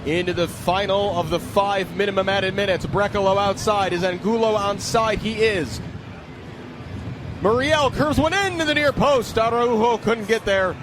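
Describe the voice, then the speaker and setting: Guy doing radio soccer play-by-play, pretty high voice, noisy stadium ambience around him. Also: normal speed. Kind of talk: live soccer commentary. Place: broadcast position at an open-air stadium. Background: loud stadium ambience.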